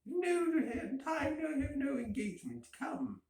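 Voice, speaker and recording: A drunken, boastful storybook man, as a male reader puts him on, pitched high and insistent. He bursts out in eager exclamations, in an echoey room with some background noise. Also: huge pitch swings, unhurried, gravelly, a little mellow, heavily acted.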